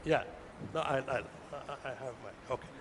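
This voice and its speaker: A man with an Indian English accent moderating a panel, brisk as he directs questions. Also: mid-range voice. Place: large conference hall. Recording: echoey hall, faint noise.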